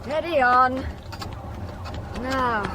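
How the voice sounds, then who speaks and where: A woman playing a brisk, outspoken farmer's wife in a radio drama. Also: high-pitched, noisy background. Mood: flustered, urging.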